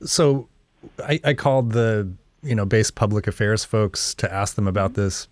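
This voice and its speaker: A man with a fairly low voice conducts a casual interview in a dry acoustic with little echo. He phrases his questions loosely and tentatively, like everyday conversation. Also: normal speed. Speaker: interviewer, reporter.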